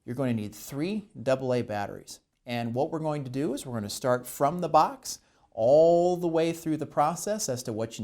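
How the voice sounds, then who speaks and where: An American man with a medium-pitched voice presents a step-by-step tutorial. He explains methodically, in plain instructional language, and puts emphasis on the points that matter most. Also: a little mellow.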